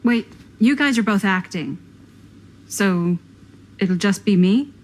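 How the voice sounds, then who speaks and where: Game role: cool gothic-leaning young American woman, mid-range voice, deadpan and knowing. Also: mid-range voice, slow, slight background noise. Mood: dry. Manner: deadpan, understated. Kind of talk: naturalistic video game acting.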